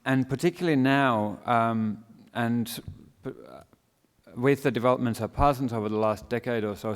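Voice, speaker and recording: A man from Finland with a Finnish accent mixed with Australian, giving a relaxed panel talk with many fillers. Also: medium pitch, smooth. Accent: Finnish with Australian mix. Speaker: fashion design academic, panel speaker. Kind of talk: panel presentation. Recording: reverberant hall.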